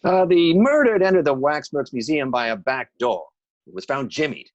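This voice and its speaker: Man as streetwise detective, radio crime serial, colloquial, very lively intonation, mid-pitched. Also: normal speed, dull audio, natural voice. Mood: eager reporting. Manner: animated, colloquial. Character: eager, slangy, streetwise police detective. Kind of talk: old-time radio drama acting.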